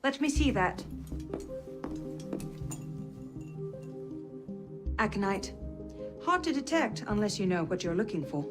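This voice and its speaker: A woman's voice: mid-range voice, big pitch swings, a little fast, lightly acted. A determined young noblewoman voiced by a woman in a dramatic video game. She speaks with poise and directness, with music playing behind her. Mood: focused. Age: young adult. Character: proud, determined young Templar noblewoman seeking revenge.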